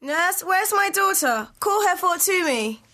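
A high-pitched, brisk noblewoman and mother, acted by a woman. She speaks Shakespearean lines in a formal, commanding way, as in an amateur radio play. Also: expressive, sharp tone, heavily acted. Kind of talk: student audio drama of Shakespeare.

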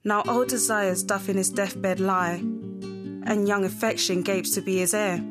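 A young woman acting as a solemn chorus, narrating Shakespearean verse in a measured, poetic way. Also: mid-range voice, steady tone, close to an everyday voice. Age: young adult. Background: plucked guitar music.

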